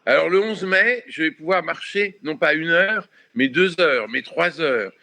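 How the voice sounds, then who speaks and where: A man, a writer, speaking as a panel guest in a calm, measured way. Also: on the high side, gravelly. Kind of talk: panel discussion by video call.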